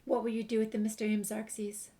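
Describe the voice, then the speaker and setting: A woman's voice: on the high side, level tone, a little fast, warm tone. A woman hosting a game walkthrough, casually commenting and reading dialogue options aloud. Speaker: gamer, host of a game walkthrough.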